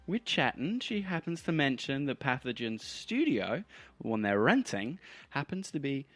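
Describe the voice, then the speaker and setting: A man puts on a drugged-up radio rebel from a cyberpunk audio drama. He is fervent and rhetorical, forever speechmaking. His mid-pitched voice rides over a bed of music. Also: very animated, moderate pace, a bit bright. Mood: scheming. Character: fervent drugged-up pirate radio host professor, male rebel. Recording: music behind the voice.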